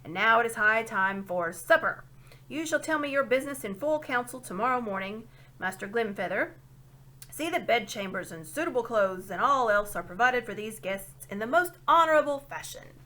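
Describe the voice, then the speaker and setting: A woman voicing a pompous, hard-of-hearing elderly dwarf lord in a storybook, booming and emphatic. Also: expressive, normal speed, bright, forceful tone, exaggerated voice. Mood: courtly, booming. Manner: booming, emphatic, repeats himself, querying "eh?".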